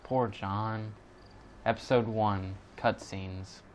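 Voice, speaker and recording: A man's voice: fairly low pitch, steady tone, takes it slowly, slight background noise. A man giving casual, joking, off-the-cuff commentary as he hosts a gaming video.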